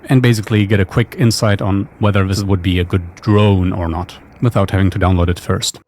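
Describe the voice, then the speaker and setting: Male, low register, informal understated tutorial narrator thinking aloud, noticeable noise behind the voice. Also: fairly even intonation, a little fast, a little mellow. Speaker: software developer presenting own tool. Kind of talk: casual screencast tutorial.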